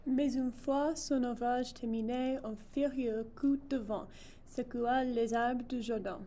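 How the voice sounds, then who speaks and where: Slow-reading young woman, slightly high voice. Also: fairly even intonation, mellow. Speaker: student reader. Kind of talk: read-aloud children's storybook narration. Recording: noticeable background noise.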